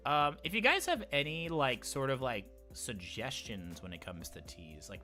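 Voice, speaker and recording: A man's voice: medium pitch, bright, forceful tone. A man hosting a casual livestream, chatting in an informal, rambling, jokey way. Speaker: livestream host.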